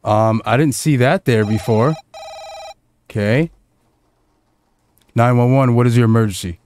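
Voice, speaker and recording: A man's voice: medium pitch, a little slow, darkish tone. A man streaming a game, reading a police dispatcher's lines aloud.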